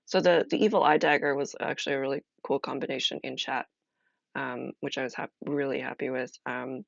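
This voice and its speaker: Very creaky woman streamer, thinking aloud. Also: extremely low pitch, fairly even intonation, dry recording, dull audio. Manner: halting, thinking aloud with pauses. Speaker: streamer playing a solo role-playing game. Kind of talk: casual live-stream chat, explaining a game.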